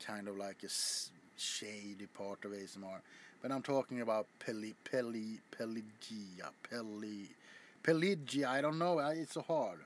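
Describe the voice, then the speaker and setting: A man's voice: on the low side, a little hiss or hum. A man chatting casually to his viewers as a video host, rambling with frequent stammers, restarts and casual swearing. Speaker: ASMR YouTuber.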